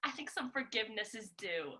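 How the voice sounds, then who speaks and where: A woman's voice: medium pitch, animated, fairly quick, sharp tone, pitched below the performer's own voice. A woman voicing a cheerful, folksy grandmother in a bedtime story, giggly as she reads. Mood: cheery.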